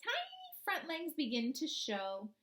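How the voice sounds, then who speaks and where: A woman reading a children's picture book aloud like a teacher, expressively, often pausing to pose guiding questions to young listeners. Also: sits high, huge pitch swings, a bit bright.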